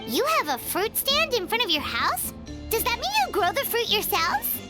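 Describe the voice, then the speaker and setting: A tiny, excitable fairy companion from a video game. The voice is extremely high, squeaky and childlike, swinging wildly up and down as it chirps and exclaims. Music plays clearly behind it. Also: female voice, normal speed. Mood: curious. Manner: refers to self in third person, exclamatory. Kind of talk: video game character acting.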